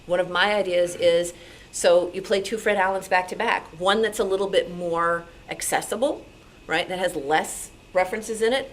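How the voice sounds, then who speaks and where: A woman with a fairly low voice talks informally as a radio programmer fielding questions. She is conversational and animated, often halting to think aloud, on a live meeting recording with faint background noise. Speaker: radio show programmer taking audience questions. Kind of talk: informal talk with audience questions. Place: club meeting room.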